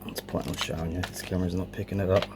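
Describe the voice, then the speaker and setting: A man with a deep voice full of vocal fry presents his collection casually. He speaks informally and without a script, hesitating now and then. The homemade recording has clear background noise. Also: little pitch movement, quick pace. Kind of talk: casual show-and-tell narration. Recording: clearly noisy amateur home recording.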